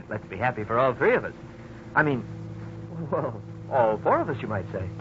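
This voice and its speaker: Young husband, animated delivery. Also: male voice, medium pitch, normal speed, faint music behind. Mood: cheerful, excited. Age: 20s. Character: upbeat young husband and father, advertising salesman. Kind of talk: 1950s radio drama acting. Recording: muffled, noisy 1950s radio recording.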